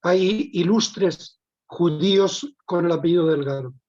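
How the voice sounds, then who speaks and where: A man lecturing in answer to audience questions in a measured, explanatory way. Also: high voice, a little mellow. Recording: dull lo-fi online call.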